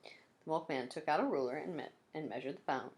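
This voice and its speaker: Teacher reading a storybook aloud, low voice. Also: female voice, fairly quick, a little mellow. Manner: adds friendly asides to the listeners. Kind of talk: children's read-aloud narration.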